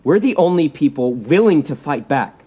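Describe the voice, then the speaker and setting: An actor playing a persuasive, self-righteous young superhero leader in an audio drama, speaking earnestly and insistently and repeating words for emphasis. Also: male voice, a little high, lively intonation, normal speed, dark tone, breathy edge. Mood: defiant, bitter.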